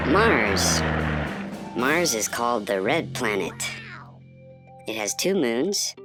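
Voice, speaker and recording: A woman doing a quirky little space traveler. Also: full character voice. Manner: chirpy, with sudden squeaky exclamations. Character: quirky little astronaut from far away, a student. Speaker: guide narrator. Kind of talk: animated educational cartoon. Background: music.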